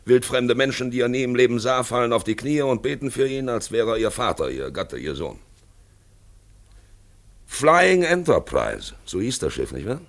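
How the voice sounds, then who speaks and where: A man's voice: mid-range voice, big pitch swings, normal speed. A man playing an eloquent narrator in a 1950s German radio play, addressing the listener directly in a dramatic, rhetorical way. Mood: dramatic, reflective. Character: eloquent male narrator guiding the listener through a drab town.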